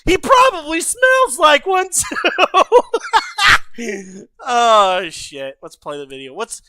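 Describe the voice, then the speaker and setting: A man streaming, giving crude, casual reaction commentary, swearing constantly and breaking into loud laughter and shouts. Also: very high-pitched, lively intonation, slow pace, no room sound.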